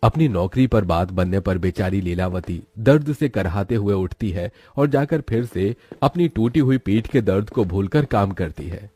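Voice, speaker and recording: A man narrates a moral tale from a script. His voice is on the low side, mellow and husky, in a very dry recording. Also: lively intonation, moderate pace, hardly any pauses, dry recording.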